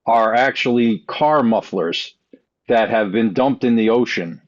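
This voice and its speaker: A man's voice: mid-range voice, measured pace. A man chatting casually as a podcast panelist, heard on slightly dull, lo-fi audio.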